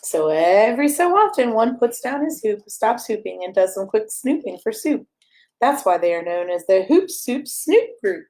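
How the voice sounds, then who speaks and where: A woman's voice: on the high side, sing-song, normal speed, a little mellow. A woman reading a rhyming children's picture book aloud as a storyteller, in a playful, sing-song way with emphasis on the rhymes.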